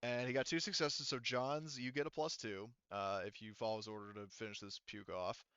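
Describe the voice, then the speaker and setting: A man running an online tabletop game as game master, explaining rules haltingly as he thinks aloud. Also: mid-range voice, steady tone, a bit bright, clean tone, no echo, dull audio.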